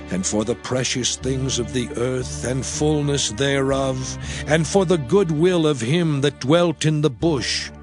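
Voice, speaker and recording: Man playing slow, solemn Moses giving blessings. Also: a little high. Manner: declamatory, measured. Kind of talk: dramatized scripture reading.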